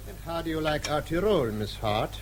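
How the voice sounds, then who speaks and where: An actor playing a courtly, faintly ominous Tyrolean official in a radio drama, formal in manner. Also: male voice, on the high side, animated, a little hiss or hum, close to an everyday voice. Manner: formal, measured storytelling. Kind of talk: BBC radio drama acting.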